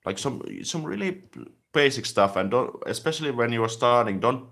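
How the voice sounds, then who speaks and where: A man from Finland, talking casually on a live stream and answering viewer comments as a language-learning host, with a relaxed, chatty manner and frequent "like" fillers. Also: medium pitch, moderate pace.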